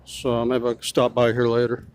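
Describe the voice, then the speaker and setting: A man's voice: medium pitch, monotone, moderate pace, a little mellow, slight background noise. A man filming himself as a street reporter outdoors, talking in a pushy way and repeating his questions. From United States. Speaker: self-styled street reporter. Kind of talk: confrontational vlog narration.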